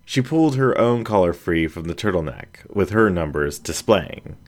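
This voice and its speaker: A man narrating a story in a measured, expressive reading. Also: deep voice. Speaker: audiobook narrator. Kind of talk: scripted narration.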